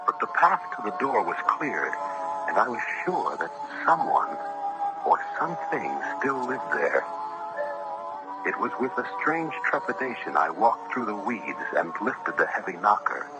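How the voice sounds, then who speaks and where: An actor playing an earnest young judge who narrates in a literary, measured, suspenseful way for a gothic radio drama. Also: male voice, fairly low pitch, big pitch swings, rough recording. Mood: uneasy, suspenseful. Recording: vintage radio recording with music behind. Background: music.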